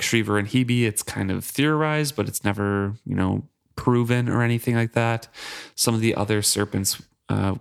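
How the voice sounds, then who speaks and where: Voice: medium pitch, creaky, smooth. A young adult co-hosting a casual book podcast, steadily reading out listener comments and emails with small fillers. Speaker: podcast co-host.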